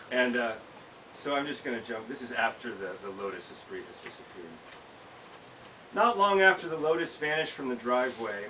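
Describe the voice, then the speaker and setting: An American man reads a personal essay aloud at a live event. His mid-pitched voice is bright and clear-edged. It is caught by a camera mic at some distance, with faint hiss in the room. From United States. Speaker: author reading from own work. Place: small bookshop event, indoors. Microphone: camera several feet away. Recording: distant mic, hissy background noise.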